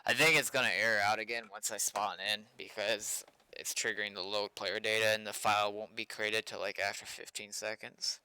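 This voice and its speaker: A man's voice: fairly low pitch, flat delivery, fairly quick, hardly any pauses, bright, forceful tone, clean tone, no room sound, rough recording. A man giving casual live commentary as he works at a computer, muttering his thoughts aloud, recorded close on a desk mic with typing and clicks. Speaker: streamer developing a game server. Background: keyboard typing and mouse clicks.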